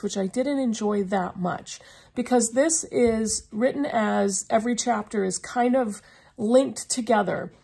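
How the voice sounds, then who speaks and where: A woman's voice: a little high, creaky, a little mellow. A middle-aged woman chatting casually to camera as a book vlogger, her voice slightly froggy from a lingering cough. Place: small indoor room lined with bookshelves.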